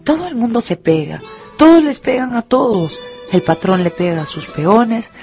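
A woman narrator telling a story for a radio drama in a measured, expressive way. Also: on the high side, faint music behind.

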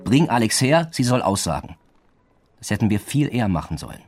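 An actor playing a sceptical police detective, blunt and probing with an air of command, in a radio drama. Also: male voice, fairly low pitch, big pitch swings, fairly quick, darkish tone, soft background music. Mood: accusing, stern.

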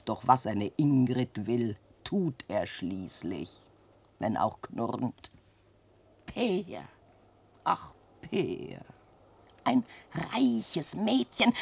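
A woman's voice: deep voice, vocal fry, fairly bright tone, slightly rough, heavily acted. An actress playing an old peasant widow and mother who scolds and laments in declamatory verse in a radio drama. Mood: reproachful, wistful. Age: older. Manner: declamatory, nagging and wailing, dramatic swings.

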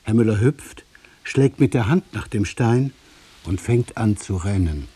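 A male radio play narrator with a dark, slightly husky voice. He reads scripted literary prose very slowly, in short deliberate phrases with pauses. The sound is dry and close. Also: fairly low pitch, animated, no room sound.

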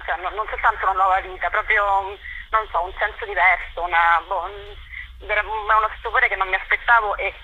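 A woman's voice: medium pitch, steady tone, a little fast, sharp tone, slight background noise, poor audio. A woman chatting casually in a radio interview, hesitating as she searches for words. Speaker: writer being interviewed.

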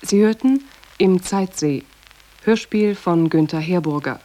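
Low voiced lady radio announcer, formal n measured, reading credits. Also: warm tone. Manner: measured, factual.

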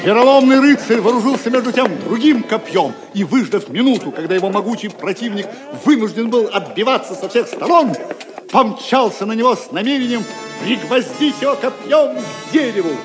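A man tells the story as the narrator of an audio drama. His voice is high and very animated, dramatic in its scripted delivery, with music playing clearly behind it. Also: fairly quick. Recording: music under the voice.

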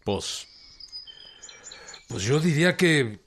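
A man's voice: on the high side, expressive, drawn-out words, noisy background. An actor playing a modest but resolute young village man with a Mexican accent, plain-spoken and hesitant, in a radio drama. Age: young adult.

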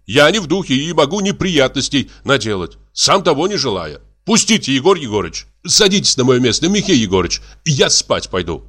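A man's voice: medium pitch, very animated, brisk, few pauses, a bit bright, slightly rough, pitched above the performer's own voice. A man voicing a grumbling, aggrieved country doctor in a story, complaining in an exasperated way. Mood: peevish.

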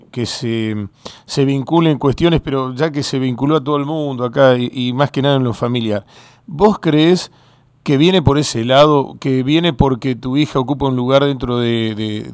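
Smooth-voiced radio interviewer. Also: male voice, medium pitch, a little mellow, no echo. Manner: probing, hesitant with fillers.

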